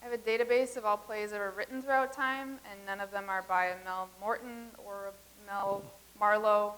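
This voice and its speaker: A woman's voice: medium pitch, steady tone, moderate pace, fairly bright tone, natural voice. An actress playing a matter-of-fact woman from the far future in a staged comedy reading.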